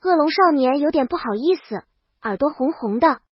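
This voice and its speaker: A woman's voice: high voice, lively intonation, normal speed, no echo, dull audio. A woman reading a comic first-person story as a scripted audiobook narration.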